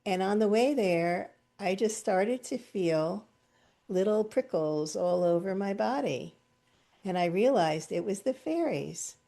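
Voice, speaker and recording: A woman's voice: medium pitch, lively intonation, unhurried, gravelly, warm tone. A woman hosting a group video call, chatty and enthusiastic, telling stories and laughing often. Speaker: host.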